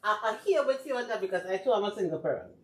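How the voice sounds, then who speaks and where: High, lively man in an echoey room. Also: rapid-fire. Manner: laughs often, eager agreement. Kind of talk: casual chat.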